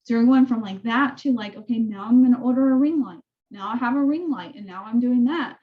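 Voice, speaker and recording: A woman with a soft voice, casually walking her real estate team through training tools on a call. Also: a little high, a little fast, phone-quality sound. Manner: chatty, rambling explanations. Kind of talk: casual team meeting walkthrough.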